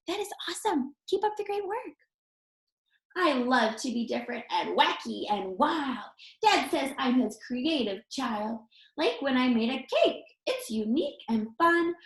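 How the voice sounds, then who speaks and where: A woman reading a children's picture book aloud expressively, like a teacher, with encouraging asides spoken straight to young listeners. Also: high voice. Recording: roomy, echoey sound.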